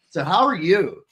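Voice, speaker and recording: A man hosting a live podcast, chatting casually in an excitable, rambling way on a video call. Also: high voice, dull audio. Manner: excitable, rambling, says "dude" and "bro". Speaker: podcast host. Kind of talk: casual chat.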